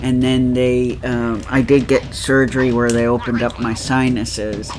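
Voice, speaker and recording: A man's voice: mid-range voice, level tone, a little slow, slight background noise. A man with a nasal voice chatting casually while playing a game, rambling with lots of fillers and laughs. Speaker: let's play co-host. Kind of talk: casual chat over gameplay.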